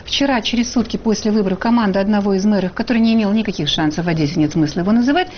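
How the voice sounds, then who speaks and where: A woman's voice: medium pitch, rapid-fire, lo-fi sound, a little hiss or hum. A woman journalist hosting a heated studio debate, pressing and interrupting her guests. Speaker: talk show host and journalist.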